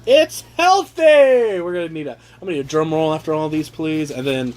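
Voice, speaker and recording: A man casually reading aloud and riffing on the text with sarcastic, profane, animated asides, on an amateur home recording. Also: on the high side, moderate pace. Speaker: reader riffing on a handbook aloud to his brothers. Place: casual room with brothers chiming in.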